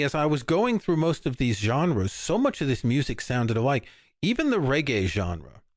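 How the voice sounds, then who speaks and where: A man's voice: mid-range voice, no room sound, studio quality. An older man, co-hosting a podcast, chatting casually about music with frequent restarts. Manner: relaxed, rambling, many restarts. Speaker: podcast co-host. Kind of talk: casual chat.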